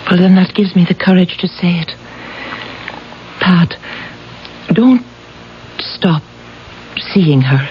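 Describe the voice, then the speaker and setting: A woman acting a tender, wistful woman in love in a 1970s radio drama, speaking softly and intimately. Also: lowish voice, lively intonation, slow, pauses often. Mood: gentle, pleading. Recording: muffled old radio broadcast, faint noise.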